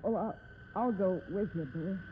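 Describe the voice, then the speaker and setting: A woman's voice: mid-range voice, moderate pace, close to an everyday voice. An actress playing a spirited young cowgirl with a folksy American Western accent and earnest plain speech in a 1950s radio drama. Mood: shaken. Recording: tinny, noisy old 1950s radio recording.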